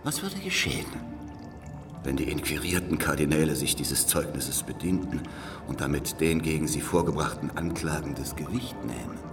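Very deep-voiced, grave old spy. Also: male voice, big pitch swings, normal speed, far from the mic, over music, full character voice. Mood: urgent, knowing. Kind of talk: German historical radio drama acting.

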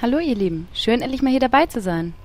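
A woman's voice: a little high, expressive, fast, darkish tone. A woman playing a friendly, chirpy mage player with a sweet, eager manner in a comedic audio drama. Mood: friendly, curious.

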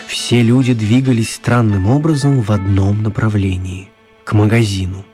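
An actor playing a storyteller, narrating a children's radio tale with measured dramatic pauses. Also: male voice, lowish voice, steady tone, warm tone, close to an everyday voice. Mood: dramatic narration. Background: music.

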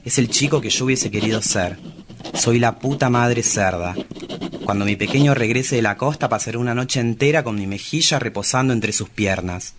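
A man's voice: mid-range voice, moderate pace, close to an everyday voice. A man with an Argentine accent playing a flamboyant, lovestruck, motherly cabaret performer, confessional and poetic, in a dramatized comic reading. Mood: wistful, devoted. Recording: clearly noisy room recording.

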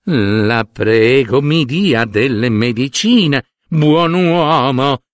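A man voicing a frail, sick old beggar woman who pleads slowly in a weak, chilling voice. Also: medium pitch, animated, no echo. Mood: imploring. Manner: slow, imploring.

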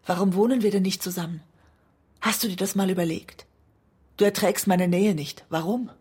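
An actress in a German radio drama playing an anguished woman in love with a self-destructive writer, often speaking in a hushed, breathy way. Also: medium pitch, fairly even intonation, normal speed. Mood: pleading, tense. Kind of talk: intimate German radio drama acting, part poetry.

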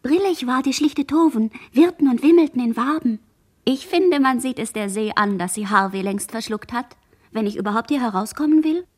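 A woman's voice: fairly high pitch, normal speed, natural voice. A woman playing a dazed young girl who murmurs a fragmented, incantatory inner monologue in a literary radio play.

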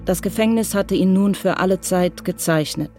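A woman narrating a radio crime drama in a sober, measured, literary style. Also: mid-range voice, warm tone, faint music behind, close to an everyday voice.

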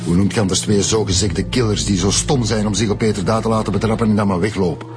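A man's voice: lowish voice, fairly even intonation, quick pace, over music, natural voice. An actor with a Flemish accent playing a cynical, crooked male building contractor in a radio drama, blunt and scornful. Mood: cynical, resigned.

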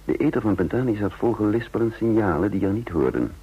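A husky, insistent intelligence adviser. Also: male voice, fairly low pitch, animated, fast talker. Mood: confident, explaining. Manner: articulate, rhetorical, persuasive. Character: shrewd, insistent male intelligence adviser to a president. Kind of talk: radio drama acting with first-person narration. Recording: dull, lo-fi radio drama recording.

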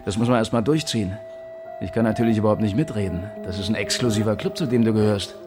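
An actor playing a menacing East German state officer in a radio drama, taunting and sarcastic with drawn-out emphasis. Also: male voice, lowish voice, natural voice. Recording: music behind the voice.

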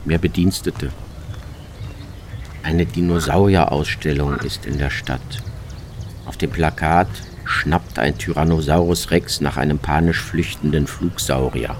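A man's voice: a bass voice, a little hiss or hum. A man narrating a scripted, literary travel piece for radio as its reporter.

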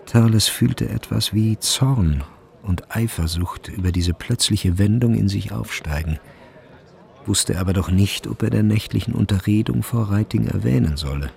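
A man narrating a literary radio play in a measured, solemn storyteller's manner. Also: fairly low pitch, vocal fry, darkish tone. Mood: grave. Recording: faint background hiss.

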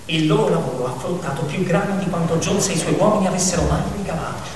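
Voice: mid-range voice, fast, a little mellow. A stage narrator telling an animal fable theatrically, expressively and with conversational asides to the audience. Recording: echoey hall, distant mic, faint noise.

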